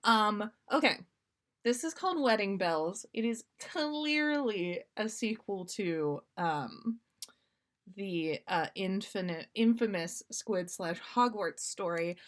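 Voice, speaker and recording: A woman podcast host reading fan fiction aloud on a livestream in an amused, expressive storytelling way. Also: medium pitch, slow.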